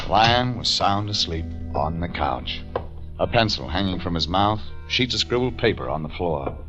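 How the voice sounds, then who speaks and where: An actor playing a tough, wry private detective in clipped 1940s radio drama style. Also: male voice, on the low side, close to an everyday voice. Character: tough, wry male private detective narrating his case. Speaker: actor playing the lead and narrator. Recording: dull old radio recording with music.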